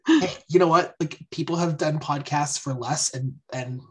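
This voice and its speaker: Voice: mid-range voice, normal speed. Upbeat, teasing podcast co-host. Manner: upbeat, teasing, laughs often. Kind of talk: casual chat.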